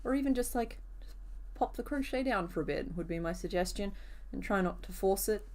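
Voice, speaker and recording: A woman with a rough, hoarse voice chatting casually with viewers during a live crochet stream, answering comments as she works. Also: medium pitch, lively intonation, brisk.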